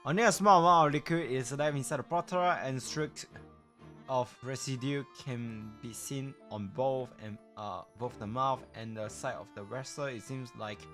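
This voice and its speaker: Low-pitched streamer over game music. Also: normal speed, fairly bright tone. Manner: reacts aloud, swears, reads game text aloud. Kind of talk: casual live game commentary.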